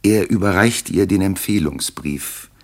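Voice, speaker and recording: A man narrating a classical German radio play as a composed storyteller, in measured literary speech. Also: low voice, darkish tone. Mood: composed, storytelling. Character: composed male storyteller of a royal tale.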